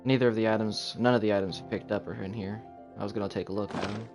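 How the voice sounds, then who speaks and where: A man's voice: lowish voice, fairly even intonation, fast. A man streaming a video game live, thinking aloud in offhand, casual commentary. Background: game music.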